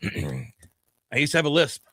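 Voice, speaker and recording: A man on a sports talk radio show with a forceful, bright voice, recorded dry and close on a studio mic. He argues emphatically, hammering the same points again and again in casual banter. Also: medium pitch, huge pitch swings, slow pace, slightly rough, dry recording. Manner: loud, emphatic, repeats himself to argue.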